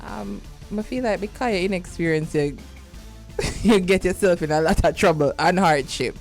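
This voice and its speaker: A Jamaican woman co-hosting a morning radio show, chatty and quick to laugh as she reads and discusses a proverb. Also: lowish voice, soft background music. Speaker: radio co-host. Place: radio studio.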